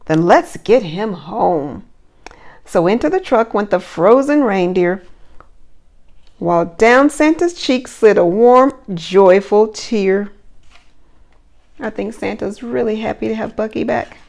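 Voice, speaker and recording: A woman preschool teacher doing an animated read-aloud for young children, with simple encouraging questions. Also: on the high side, measured pace, creaky.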